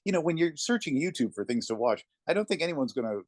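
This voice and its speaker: A man talking conversationally as an interview guest, telling anecdotes in a reflective way with thoughtful pauses. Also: a little high, big pitch swings, no room sound, studio quality. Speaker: interview guest, narrator of classic readings.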